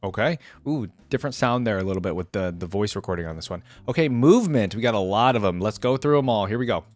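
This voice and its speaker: A man giving casual reaction commentary as a host, talking in an animated, conversational way. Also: fairly low pitch, fast talker, soft background music.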